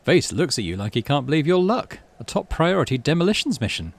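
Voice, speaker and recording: A man's voice: a little high, very animated, fairly quick, gravelly. A man hosting a playthrough, reading game text aloud with animation and muttering offhand commentary to himself. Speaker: gaming channel host.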